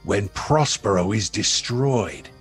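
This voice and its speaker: Slow, halting island monster acted by a man. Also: fairly low pitch, animated, slightly rough, faint music behind, full character voice. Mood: vengeful prompting. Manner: halting, dreamy, lyrical. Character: servile island monster with childlike wonder. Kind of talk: Shakespearean radio play acting.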